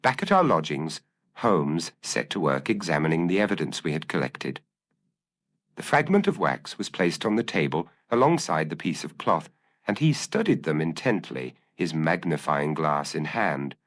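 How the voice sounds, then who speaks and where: A man narrating a scripted gothic mystery story in a measured, theatrical, formal storytelling manner. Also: extremely low pitch, sing-song, no room sound, clean studio sound. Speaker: audiobook narrator.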